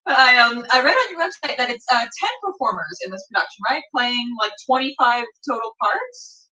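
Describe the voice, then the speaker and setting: A woman's voice: a little high, animated, normal speed, bright, forceful tone. A woman hosting a casual interview, enthusiastic and informal, often saying "like", recorded with roomy echo at some distance from the mic. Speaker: interviewer and show host.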